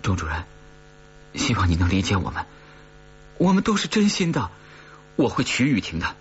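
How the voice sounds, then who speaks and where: A man's voice: lowish voice, wildly swooping pitch, moderate pace, breathy edge, voice pushed up, exaggerated voice. A man voicing a nervous young boyfriend, stammering and earnest as he explains himself deferentially in an audiobook. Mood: earnest pleading. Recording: dull recording with faint hum.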